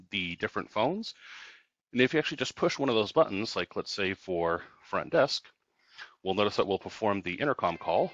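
Male product trainer, low register, casual step-by-step tutorial delivery, dry and slightly lo-fi recording. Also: fairly bright tone, dry recording. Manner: explanatory, step-by-step.

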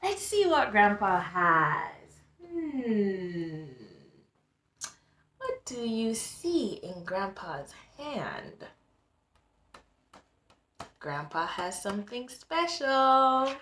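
A woman in her thirties reading a picture book aloud to young children as a tutor, expressive and deliberate, often prompting with questions, recorded in a small room. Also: medium pitch, reverberant, slight background noise. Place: small plain-walled room indoors.

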